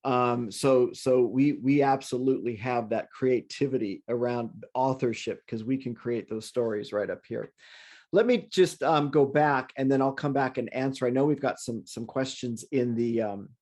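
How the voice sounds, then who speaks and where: A man's voice: medium pitch, normal speed, a little mellow. A man presenting an online workshop in casual talk, friendly and rambling, often restarting his sentences. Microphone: video call.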